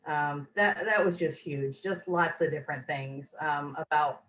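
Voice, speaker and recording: Woman, lowish voice, slightly hoarse, earnest, rambling, reflective, video call. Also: a little slow, fairly bright tone, low-quality recording. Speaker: course graduate, interviewee. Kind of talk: casual testimonial interview.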